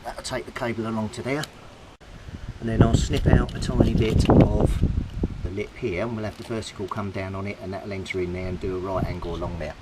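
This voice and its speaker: Male DIY vlogger, lowish voice, matter-of-fact and informal, heavy background noise with clicks and rustling. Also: steady tone, fairly quick. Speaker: DIY video maker. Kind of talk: casual hands-on demonstration, talking to camera while working. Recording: very noisy handheld recording with clicks, rustling.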